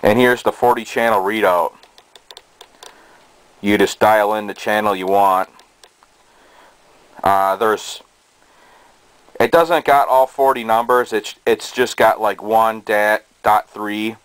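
A man giving a casual show-and-tell in a halting, hesitant way, recorded indoors on a handheld camera's microphone. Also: on the low side, clean tone, no echo, rough recording. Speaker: hobbyist presenter. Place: small cluttered bedroom indoors. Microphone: handheld camera's built-in microphone. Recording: steady noise, amateur camera sound.

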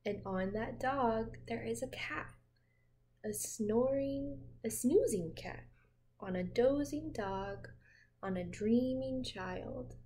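A woman in her twenties reading a picture book aloud to young children in a gentle, expressive teacher's manner. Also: on the high side. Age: 20s. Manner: slow, gentle, expressive, addressing young listeners with questions. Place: small home room with windows, indoors.

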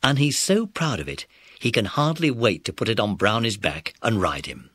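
A man's voice: lowish voice, big pitch swings, moderate pace, a bit bright, husky, no room sound. A man narrating a scripted children's story.

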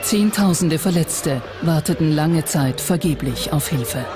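A narrator reading a scripted documentary in a measured, factual way. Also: mid-range voice, lively intonation. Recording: music bed under the voice. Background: music.

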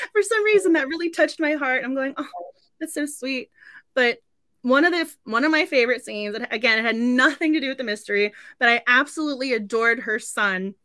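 A woman's voice: high-pitched, brisk, bright, forceful tone, no room sound, studio quality. A woman in her thirties hosting a casual book club livestream in a gushing, giggly way. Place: indoor room at home.

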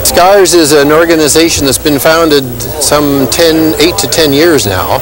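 A man's voice: fairly high pitch, a little slow, over music, low-quality recording. An older man, a club organizer, informally explaining things to camera. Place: outdoor car park swap meet. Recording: outdoor crowd and traffic sound.

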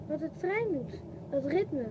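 Woman as a high-voiced curious child. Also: animated, slow. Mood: eager. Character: curious, wondering young child. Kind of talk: Dutch radio play acting. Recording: clear vehicle-like background noise.

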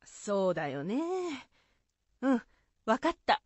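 An actress playing an obliging, easily flustered village wife with homely rural speech in a radio drama. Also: female voice, medium pitch, very animated, slow pace, no room sound. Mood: matter-of-fact.

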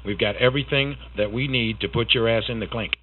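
A man's voice: medium pitch, fast, a little hiss or hum, natural voice. A man acting a bullying store security manager over a tinny phone line. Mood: accusing. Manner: accusing, intimidating. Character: stern, bullying male store loss prevention manager. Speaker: prank caller. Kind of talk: prank phone call.

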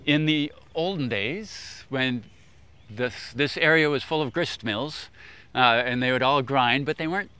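An older man explaining things informally in a measured way, as an expert guest. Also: mid-range voice, pushed and bright, smooth. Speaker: expert guest, agricultural scientist. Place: outdoors on a lawn beside a food truck.

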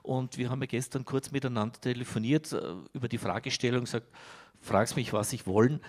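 A middle-aged man moderating a panel in a conference hall, speaking politely and formally into a handheld mic. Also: mid-range voice.

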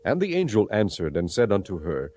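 A man's voice: lowish voice, wildly swooping pitch, a little fast, warm tone. A man narrating scripture dramatically, in a solemn storytelling manner. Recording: music behind the voice.

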